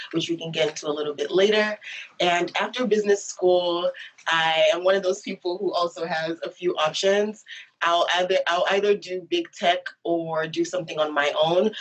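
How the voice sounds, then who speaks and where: Upbeat young woman hosting a webinar. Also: deep voice. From Nigeria. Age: young adult. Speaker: moderator. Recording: distant from the mic.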